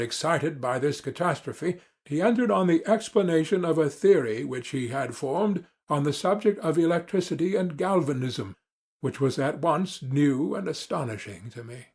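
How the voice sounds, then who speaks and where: A man reading classic literature as a dramatic audiobook narrator, formal, measured and earnest. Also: fairly high pitch, animated, darkish tone, slightly rough.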